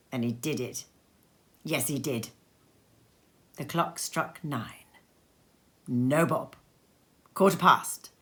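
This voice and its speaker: Sex: woman. Role: narrator. Style: expressive storybook reading. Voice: low and bright. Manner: lively and theatrical. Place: a cozy sitting room at home. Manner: lively and theatrical, with many voice changes. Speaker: narrator reading a classic tale.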